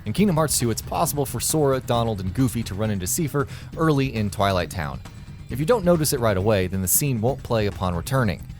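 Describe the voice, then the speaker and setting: Male countdown narrator, animated and wry, mid-pitched, faint music underneath. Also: fast talker. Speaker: video narrator.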